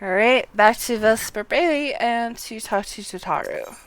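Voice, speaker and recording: A woman's voice: fairly high pitch, unhurried, clean tone. A woman streamer giving casual live commentary, talking to herself as she plays a game. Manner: talks to herself while playing.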